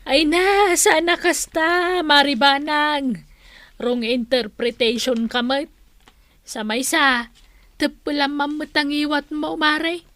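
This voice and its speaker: An actress playing a level-headed friend who questions and chides a gossip in an Ilocano radio drama. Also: female voice, high-pitched, steady tone, slow, fairly bright tone, no room sound. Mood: chiding. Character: level-headed female friend advising a gossip to hold back.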